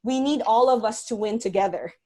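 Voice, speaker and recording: A woman's voice: fairly high pitch, brisk, dark tone. A woman, an environmental scientist, giving an earnest, conversational webinar talk over a video call. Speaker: guest speaker, environmental scientist.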